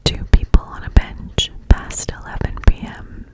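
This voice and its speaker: Canadian woman whispering asmr right up on the mic, breathy, slow and drawn out. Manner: close breathy whisper with mouth sounds, lively in pitch. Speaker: ASMR creator. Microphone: close-up mic.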